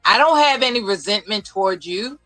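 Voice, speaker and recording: A woman's voice: mid-range voice, animated, a little fast, fairly bright tone, faint music behind. A woman debating on a live panel, firm and commanding as she presses her points.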